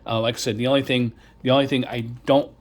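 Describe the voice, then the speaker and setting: Man, mid-pitched, casual reviewer presenting gear. Hesitant, rambling, repetitive delivery. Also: little pitch movement, fast. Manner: hesitant, rambling, repeats himself. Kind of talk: casual product demonstration.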